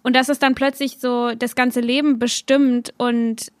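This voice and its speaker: A young woman hosting a podcast, talking in a relaxed, rambling way and laughing easily. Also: fairly high pitch, unhurried, dry recording. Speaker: podcast host. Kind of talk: casual podcast chat.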